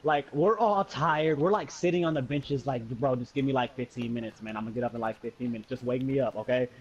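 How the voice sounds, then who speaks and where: A man's voice: medium pitch, a little fast, dull audio, a little hiss or hum. An American man casually telling a personal story, acting out dialogue in slangy, sweary speech. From Muskegon, Michigan, USA. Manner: animated retelling with acted-out dialogue and slangy swearing.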